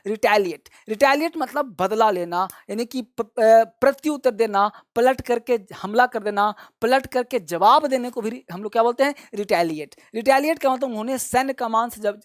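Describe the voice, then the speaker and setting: A man's voice: extremely high pitch, moderate pace, few pauses, no echo. Repetitive male teacher, dry sound. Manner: repetitive, has students repeat words after him; addresses viewers fondly as children. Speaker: English vocabulary teacher. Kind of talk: classroom-style vocabulary lesson.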